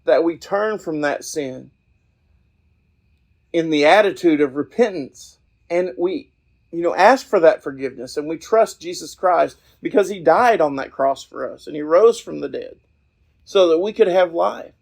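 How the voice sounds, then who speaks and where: A man with a slightly high voice speaks as a podcast host and lay preacher. His delivery is folksy and earnest, and he often halts and picks his way through the thought as he talks outdoors. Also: lively intonation, measured pace, vocal fry, darkish tone. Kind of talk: informal evangelistic talk.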